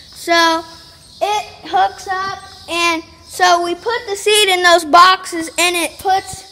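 A woman's voice: very high voice, bright, forceful tone, slight background noise. A teenage girl giving a casual walking tour of her family farm outdoors, talking plainly and tying her points together with lots of "so". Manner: chatty, plain, many "so" fillers.

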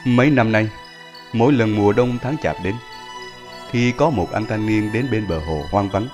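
A man's voice: lowish voice, normal speed, darkish tone. A man reading scripted story narration as the narrator. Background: music.

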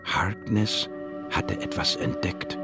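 An actor playing an inquisitive, wry male biologist, narrating in a measured, dry manner in a radio drama. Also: sits low, loud music behind the voice. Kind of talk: scripted first-person radio drama narration.

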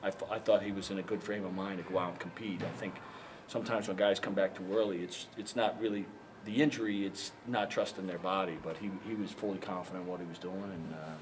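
An older man, a basketball head coach at a postgame press conference, answering reporters haltingly with many fillers and repeated words. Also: low-pitched, steady tone. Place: press room in front of a sponsor backdrop. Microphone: handheld mics held up by reporters. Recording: noisy press room.

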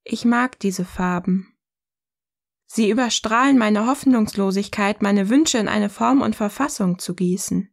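A woman acting a self-assured, provocative partner in scripted audio-novel dialogue, speaking in a poised, deliberate way. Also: mid-range voice, creaky.

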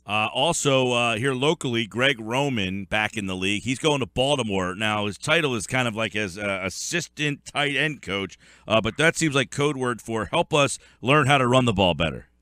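Man, around 40, sports radio host, bright voice, dry close mic. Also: mid-range voice, animated, normal speed, few pauses, smooth, no echo. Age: 40s.

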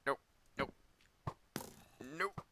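A young man casually commenting on his own gameplay, talking himself through each move, his voice a little hoarse and cracking, with sudden yelps when he gets hurt. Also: extremely slow, sharp tone, slight background noise. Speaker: Minecraft let's player.

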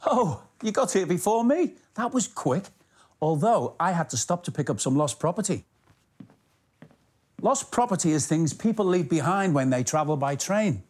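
A middle-aged man presenting and telling stories to children as a station master, expressive and clearly enunciated. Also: high-pitched, moderate pace.

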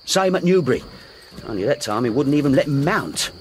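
An actor playing a humble, earnest racehorse jockey in a radio drama, deferential, with rustic, ungrammatical speech. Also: male voice, medium pitch, very animated, brisk, a little hiss or hum, lightly acted. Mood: earnest, indignant. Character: humble, earnest working-class racehorse jockey devoted to his horse.

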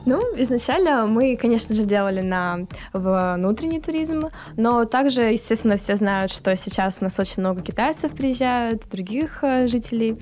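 A woman's voice: a little high, lively intonation, normal speed, darkish tone, faint music behind. A young woman in her twenties, a guest in a studio interview, talking cheerfully and laughing often. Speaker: guest, tourism project author. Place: radio studio. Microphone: studio mic on stand.

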